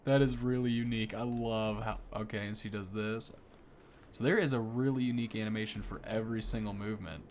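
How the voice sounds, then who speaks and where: A man's voice: on the low side, steady tone, fairly quick, a little mellow, slight background noise. A man hosting a gaming video, giving casual, easily impressed first-look commentary that rambles and corrects itself.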